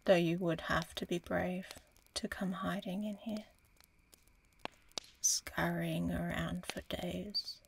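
An ancient female dragon played by a woman in a fantasy ASMR roleplay. She speaks very slowly and with a gravelly edge, breathy and proud, toying with her listener in quiet menace. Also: medium pitch, slight background noise. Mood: commanding, sly. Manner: slow, deliberate, breathy, teasing.

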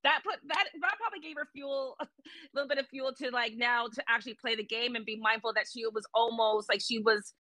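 Bright-voiced woman, rambling guest. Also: on the high side, fairly quick, no room sound. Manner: hesitant, rambling with restarts. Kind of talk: casual chat. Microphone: webcam on video call.

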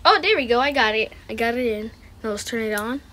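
A woman's voice: fairly high pitch, expressive, fast talker. A woman hosting a casual unboxing, making short, repetitive remarks as she handles items. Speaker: host of an unboxing video. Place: indoors, room with wooden floor. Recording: clicks and handling noise.

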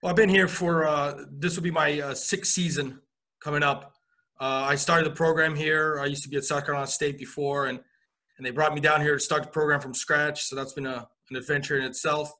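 A man in his forties, a college soccer coach from Romania, telling his career story on a video call with relaxed pacing and frequent fillers. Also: fairly high pitch, brisk. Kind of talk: video call interview answers. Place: indoor office.

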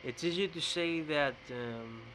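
A young man from Greece with a Greek accent, rambling in a casual vlog rant to his webcam in a bright indoor room, often repeating and restarting words. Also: a little high, a little slow, a little hiss or hum, low-quality recording. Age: young adult. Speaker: vlogger. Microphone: webcam close up.

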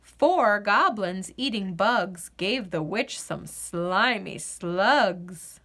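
A woman reading a children's picture book aloud in an expressive, sing-song storyteller's delivery. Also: mid-range voice, extremely slow.

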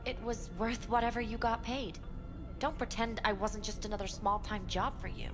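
A woman's voice: a little high, fairly even intonation, normal speed, over music, lightly acted. A woman playing a guarded, uneasy corporate professional in a video game, clipped and evasive in her speech. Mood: guarded, curt. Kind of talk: naturalistic video game voice acting.

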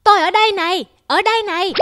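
A woman's voice: extremely high pitch, fast, background noise. A woman voicing a tiny, proud and defiant ant in a children's cartoon. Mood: plucky, calm.

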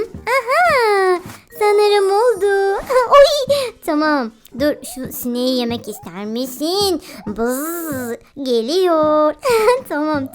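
A woman doing playful game commentary for children, cooing and exclaiming as she talks to animals and young viewers, often giggly. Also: high-pitched. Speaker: children's game video host. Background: background music.

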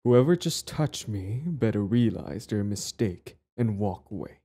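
Low, mellow young bully, acted by a man. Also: expressive, unhurried, breathy edge, full character voice. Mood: menacing. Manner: stammering, swaggering, profane. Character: cocky possessive young male bully, clingy and smitten. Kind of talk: audio roleplay acting, addressed to the listener.

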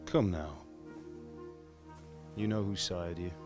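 A middle-aged man voicing a medieval blacksmith father in a game, speaking in a gentle, reassuring way. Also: deep voice, takes it slowly, music in the background. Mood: tender.

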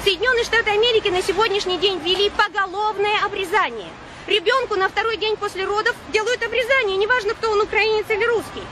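A woman in her forties, from Ukraine and raised in Russia, pleads her case at an outdoor picket in a high, insistent voice. She keeps pausing on long "uh" fillers. Traffic and street noise run loudly underneath. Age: 40s. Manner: urgent, insistent, pausing often on drawn-out "uh" fillers. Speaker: protester at a picket. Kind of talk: impassioned street speech.

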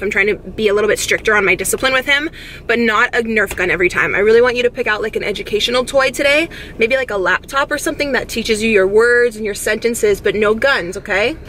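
A young American woman vlogs in a casual, chatty way. Her voice sits a little high and she talks quickly, with effusive, slangy phrasing. There is some faint noise behind her. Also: a bit bright. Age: young adult. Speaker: vlogger. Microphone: camera at arm's length.

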